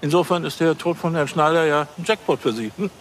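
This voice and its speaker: A man's voice: a little high, fairly quick, a little hiss or hum, lightly acted. An actor playing an officious, self-satisfied policeman in a TV crime comedy, fussy and fond of questioning tags. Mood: smug.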